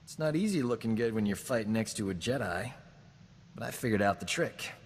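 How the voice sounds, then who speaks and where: A man's voice: fairly low pitch, animated, fairly quick, long reverb tail, a little hiss or hum, lightly acted. An actor playing a cocky, womanizing human doctor who boasts and wisecracks in a video game. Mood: boastful. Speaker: companion character. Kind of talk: video game dialogue acting.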